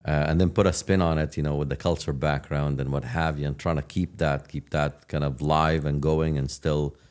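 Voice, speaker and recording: A raspy-voiced man, a guest telling his story in a loose, reflective way with many fillers. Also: rumbling low, steady tone, normal speed, a little mellow. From Palestine. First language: Arabic. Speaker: guest, real estate agent. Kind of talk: casual interview chat.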